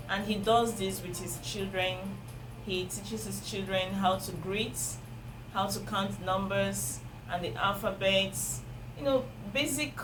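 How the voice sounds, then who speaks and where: A woman's voice: medium pitch, rough recording. A woman with a Nigerian accent, a native Igbo speaker, giving earnest parenting advice straight to her viewers and repeating key points for emphasis. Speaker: parenting vlogger. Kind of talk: advice talk to camera. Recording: music playing under the voice.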